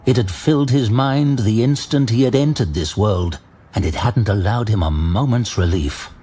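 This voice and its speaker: A man narrating a scripted fantasy tale dramatically, with a measured, grave storytelling manner. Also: on the low side, warm tone, slightly rough.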